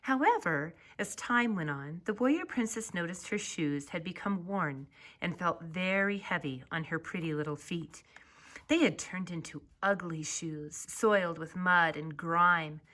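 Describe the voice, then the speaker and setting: A woman narrating a children's story as its author, reading expressively and deliberately. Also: on the low side. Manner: expressive, deliberate, drawn-out phrase endings.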